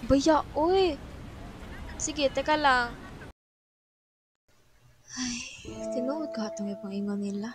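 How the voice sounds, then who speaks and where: Woman acting trusting young Filipina girlfriend, slow and high. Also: music in the background. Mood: casual, dismissive. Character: trusting young girlfriend, anxious to reach her boyfriend. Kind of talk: radio drama acting.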